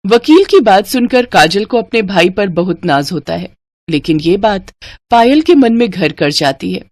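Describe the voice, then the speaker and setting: A woman's voice: medium pitch, big pitch swings, a little slow, darkish tone, no echo. A woman narrating a scripted children's moral story as the storyteller.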